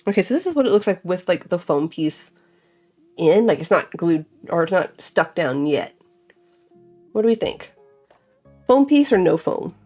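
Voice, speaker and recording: A young woman with a slightly low voice talks through her crafting at a home table, musing aloud and peppering her sentences with "like." Faint music plays quietly behind her. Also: sing-song, normal speed, pauses often. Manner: chatty, thinks aloud, many fillers like "like". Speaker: crafting vlogger. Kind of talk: casual chat while crafting.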